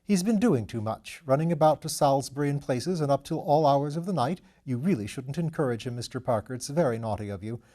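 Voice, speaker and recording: A man's voice: medium pitch, expressive, a little fast, few pauses, mellow, natural voice. A man voicing a gracious older aristocratic woman in an audiobook, fussing and mildly reproving. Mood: fond scolding. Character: gracious older aristocratic woman, a gently scolding dowager duchess. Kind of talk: audiobook character voice.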